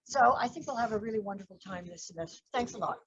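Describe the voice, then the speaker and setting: An older woman teaching a film class, chatty and soft-spoken, introducing her course with small self-mocking laughs. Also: mid-range voice, lively intonation, quick pace, lo-fi sound, a little hiss or hum.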